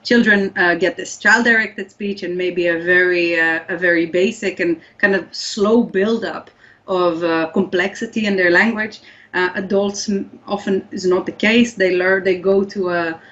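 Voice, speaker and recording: A woman's voice: fairly low pitch, steady tone, measured pace, a bit bright. A woman whose first language is Hebrew, explaining research in an interview and often restarting her sentences. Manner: thoughtful, many restarts and fillers. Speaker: guest researcher. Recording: slightly dull lo-fi audio.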